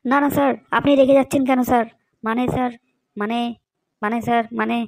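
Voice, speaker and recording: A woman's voice: on the high side, fairly even intonation, quick pace, no room sound, close to an everyday voice, rough recording. A woman voicing a grumpy, evasive, lazy schoolboy in a comedy cartoon, with a whiny, grumbling manner. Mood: pleading.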